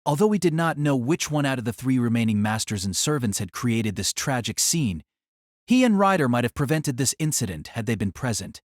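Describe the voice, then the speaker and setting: A man's voice: medium pitch, no echo, studio quality. A man narrating a scripted audio drama as a storyteller.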